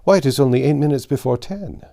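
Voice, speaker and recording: A man's voice: medium pitch, fast, creaky, mellow, pitched above the performer's own voice, natural voice. A man voicing a voluble, proud and trusting French servant in a storybook, chatty and emphatic. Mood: indignant, proud.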